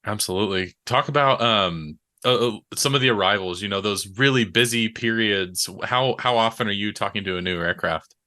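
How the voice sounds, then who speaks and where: A man hosts a casual interview in a conversational style. His voice is a little low yet bright and forceful, and he responds in an encouraging, affirming manner. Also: normal speed, hardly any pauses. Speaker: interview host.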